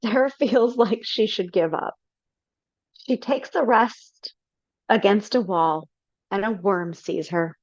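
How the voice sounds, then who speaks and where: A woman's voice: mid-range voice, takes it slowly, dry recording. Woman narrator, casual, stumbling, dull lo-fi call audio, dry. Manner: casual, stumbling, laughs between lines. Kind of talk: reading stage directions in a live reading. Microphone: video call.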